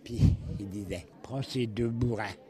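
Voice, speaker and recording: A man of about eighty from northern France with a Picard accent, telling anecdotes in dialect in a folksy, animated way. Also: on the low side, a little slow. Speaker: local elder interviewee.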